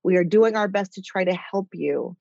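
A woman with a nasal voice talks in a rambling, chatty way, sitting a bit low in her range. The sound is dry and slightly dull, with no room around it. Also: moderate pace, vocal fry, darkish tone, dry recording. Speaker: author and executive guest. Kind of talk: casual interview chat.

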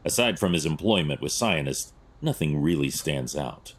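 An actor playing a stern, analytical vigilante detective in an audio drama, terse and clinical, with a gravelly voice. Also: male voice, a bass voice, lively intonation, moderate pace, heavily acted. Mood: clinical, measured.

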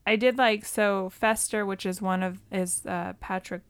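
A woman's voice: medium pitch, fairly even intonation, a little slow, smooth, no echo. A woman co-hosting a movie podcast, chatting casually and informally as she recaps a film. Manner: informal, conversational.

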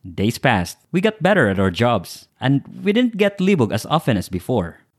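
A young Filipino man whose first language is Bisaya, hosting a podcast and telling stories in a lively way. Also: medium pitch, moderate pace. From Philippines (Cebu). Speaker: podcast host. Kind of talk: storytelling intro then casual chat.

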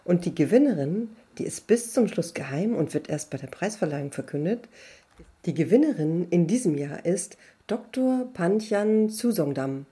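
Husky woman host, effusive. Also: fairly low pitch, expressive, moderate pace, dark tone. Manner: enthusiastic, effusive. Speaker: interview host. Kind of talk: friendly interview hosting.

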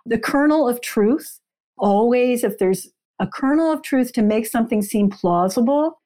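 Mellow-voiced woman explaining as a guest. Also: medium pitch. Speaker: author, podcast guest. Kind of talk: explanatory interview answers.

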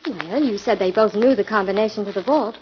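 An actress playing a sleuth's inquisitive, game female sidekick in a 1940s radio drama, with a crisp, questioning delivery. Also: medium pitch, brisk, close to an everyday voice. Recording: muffled, noisy 1940s radio recording.

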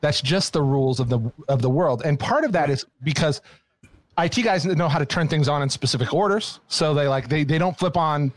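A man's voice: fairly high pitch, lively intonation, fairly quick, no echo. Self-deprecating man in casual podcast banter, dry sound. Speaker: podcast co-host.

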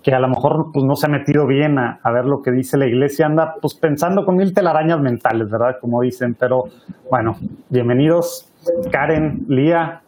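A man's voice: medium pitch, moderate pace, darkish tone. Male panel host, casual and filler-heavy, video-call sound. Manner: chatty, colloquial, many fillers. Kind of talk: casual moderating and prayer.

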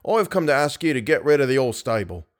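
A man's voice: mid-range voice, big pitch swings, fast talker, natural voice. A man voicing an irritable, stubborn head riding master in a story, dry and insistent.

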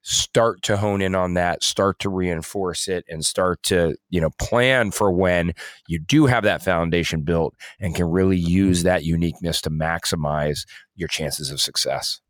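A man with a deep voice co-hosts a casual discussion podcast. He explains his points earnestly, in the way of an advisor, and leans on "you know" as he goes. The sound is close and dry, with very little room to it. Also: measured pace, dry recording. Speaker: podcast co-host and music artist manager.

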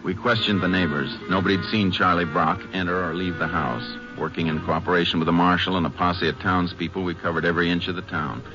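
Actor as deep-voiced, brisk Texas Ranger investigator. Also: male voice, fairly even intonation, loud music behind the voice, close to an everyday voice. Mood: grave, factual. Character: steady, courteous male Texas Ranger investigator narrating his case. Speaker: radio actor. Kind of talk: 1950s radio drama acting and first-person narration. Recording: muffled old 1950s radio recording.

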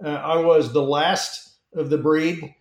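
Slightly high-voiced man in an echoey room. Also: flat delivery, slow. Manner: easygoing, self-deprecating humor, many fillers. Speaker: podcast guest, former Marine aviator. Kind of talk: conversational interview answers.

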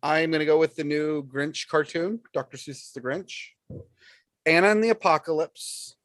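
A man in an informal podcast panel chat. His voice is a little high for a man, with a dry, echo-free sound. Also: normal speed, a bit bright.

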